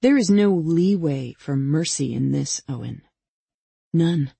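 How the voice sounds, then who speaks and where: A woman's voice: low voice, animated, slow, vocal fry, mellow, husky, dry recording, heavily acted. A woman voicing a vengeful young female killer in an audiobook, taunting and mock-patient as she puts pointed questions. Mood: cold, accusing. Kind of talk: dramatic audiobook character dialogue.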